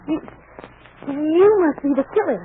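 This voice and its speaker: An actress playing a plucky, quick-witted young American woman innkeeper in a 1940s radio comedy, on a noisy vintage recording. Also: sits high, narrow, tinny audio, natural voice. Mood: nervous bluffing.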